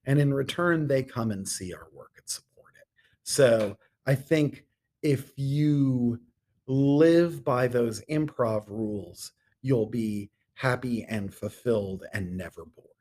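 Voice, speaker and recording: A middle-aged man speaking as an interview guest in an earnest, measured way with deliberate pauses. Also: medium pitch, a little mellow. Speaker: podcast guest, theatre artistic director. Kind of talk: conversational interview talk.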